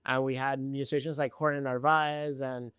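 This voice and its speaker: A man hosting a podcast, asking questions and reflecting in a relaxed, encouraging way. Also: mid-range voice, moderate pace, clear, resonant tone, dry recording, clean studio sound. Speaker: podcast host. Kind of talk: casual interview.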